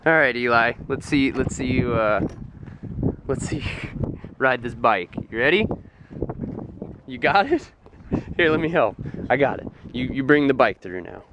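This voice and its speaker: A man's voice: medium pitch, expressive, a little slow, long pauses, background noise. A man from Iowa with an American accent, chatting casually as a vlog host in a playful, teasing manner. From Iowa, United States. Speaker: vlogger and host. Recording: roomy echo, music behind.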